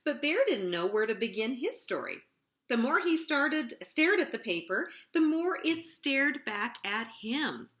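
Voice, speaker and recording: Female children's storytime host: highish pitch, very animated, deliberate and expressive picture-book reading.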